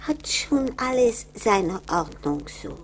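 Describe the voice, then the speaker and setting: An actress playing a frail, poor old mother in a radio play, halting, pious and resigned. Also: medium pitch, big pitch swings. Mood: meek, weary. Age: older. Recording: noisy old vinyl radio play record.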